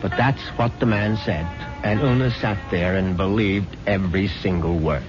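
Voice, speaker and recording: Guy acting a wry doubting american husband, low voice, 70s radio play, muffled sound, loud music. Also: normal speed, natural voice. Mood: wry, confiding. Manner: wry, conversational, confiding. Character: Richard, wry, sceptical, indulgent husband telling his story. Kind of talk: 1970s radio drama acting with confiding first-person narration. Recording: dull 1970s radio broadcast recording.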